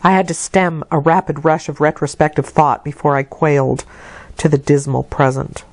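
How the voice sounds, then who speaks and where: A woman reading a classic novel aloud as an audiobook narrator, in a measured, expressive literary delivery. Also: low voice, no room sound. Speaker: audiobook reader.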